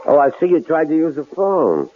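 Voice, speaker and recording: An actor playing a menacing, mocking killer in a radio drama, with a gravelly voice and a taunting, needling way of talking. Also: male voice, fairly high pitch, big pitch swings, moderate pace. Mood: falsely friendly, mocking. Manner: taunting, folksy, needling questions. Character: menacing male killer intruder, mocking, coolly philosophical, tough-talking. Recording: tinny, noisy old radio recording.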